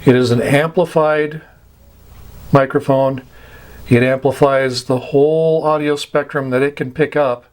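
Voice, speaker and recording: Guy teaching radio stuff, informal, careful halting delivery, repeats words to make the point. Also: mid-range voice, fairly even intonation. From United States. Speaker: amateur radio instructor. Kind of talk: informal explanatory lecture.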